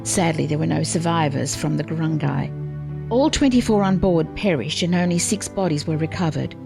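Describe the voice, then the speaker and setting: A woman narrates a historical script in a formal, measured way. Her voice is on the low side, with music clearly playing behind her.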